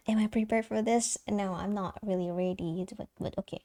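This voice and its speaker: A young woman streamer with a light soprano voice, chatting casually and giggling, with lots of fillers and restarts. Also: mid-range voice. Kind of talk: casual live-stream chat.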